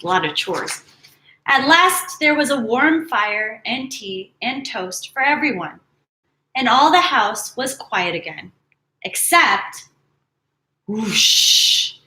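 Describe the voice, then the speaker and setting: Lady teacher doing a kids read-aloud, bright voice, bit high, acts it out then chats. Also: slow pace, slight background noise, poor audio. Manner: animated, dramatic storytelling mixed with casual commentary. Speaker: teacher reading picture books to children. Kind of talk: live read-aloud with chatty asides.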